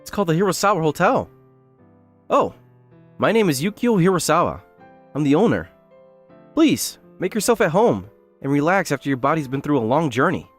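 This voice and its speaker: Man acting an earnest, lively young hotel owner. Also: on the high side, normal speed, pauses often. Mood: polite. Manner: polite, earnest. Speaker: narrator and lead character. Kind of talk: anime-style manga dub narration. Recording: background music under the voice.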